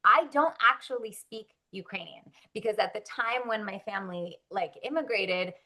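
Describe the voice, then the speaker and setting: A woman from Russia talks through her acting work in a relaxed interview. Her voice sits at a middle pitch with a bright, forward tone. She speaks in a chatty, upbeat way, with plenty of likes and ums. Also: moderate pace. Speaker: actress, interview guest. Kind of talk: casual interview chat.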